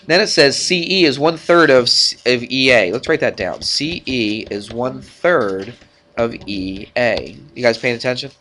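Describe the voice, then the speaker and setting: A man's voice: mid-range voice, moderate pace, pushed and bright. A man with a stuffed-up nose teaching math to a class, talking informally and checking in with frequent questions. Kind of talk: classroom worked-problem lesson.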